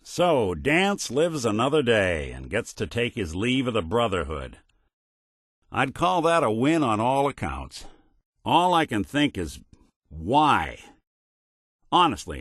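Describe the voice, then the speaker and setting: A man's voice: fairly low pitch, a bit bright, no echo, clean studio sound, exaggerated voice. A man voicing a weary, wry old-school detective robot with an American accent and hard-boiled noir phrasing, in a video game. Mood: indignant, somber.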